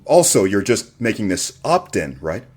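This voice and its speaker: Male actor as earnest radio interviewer, lowish voice, courteous, measured, audio drama. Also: sing-song, a little mellow, slightly rough. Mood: earnest, challenging. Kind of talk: radio theater acting, news interview questions.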